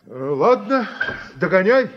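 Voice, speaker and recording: An actor playing an older, proud state-farm director in a radio drama, wry and authoritative in short clipped phrases. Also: male voice, sits high, sing-song, slow, noisy background, heavily acted. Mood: brisk, commanding. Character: ageing, proud Soviet state-farm director, weary but commanding. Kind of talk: Soviet radio drama acting. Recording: dull, muffled old radio recording.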